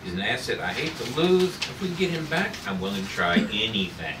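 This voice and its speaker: A man's voice: a little high, very animated, fairly quick, creaky, pitched above the performer's own voice. A man reading the part of a stern, exasperated sci-fi commander at a table read. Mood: firm. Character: gruff, exasperated male commander in a sci-fi script. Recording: noisy echoey room, distant mic.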